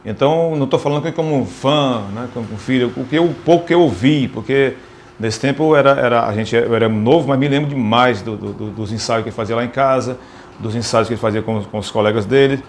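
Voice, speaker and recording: A man with a Northeastern Brazilian accent speaks in a medium-pitched voice, as if giving testimony in an interview. He feels his way through sentences, repeating small words. Some ambient noise sits faintly behind him. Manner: halting, repeats words while searching for them. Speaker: radio presenter, interviewee. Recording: noticeable background noise, ambient sound.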